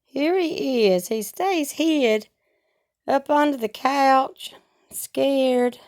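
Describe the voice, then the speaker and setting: Hoarse high-voiced woman, slow. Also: darkish tone. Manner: slow, homespun, rambling talk with regional grammar. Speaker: vlogger. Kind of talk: casual home vlog chat. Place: at home.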